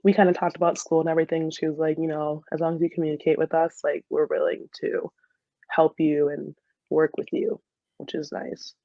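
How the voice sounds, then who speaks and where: A woman's voice: low-pitched, level tone, a little fast, vocal fry, dry recording. A woman, chatting casually and informally as an interview guest on a dull recording. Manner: chatty, frequent laughter and filler "like". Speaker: podcast guest, soccer player and dental student.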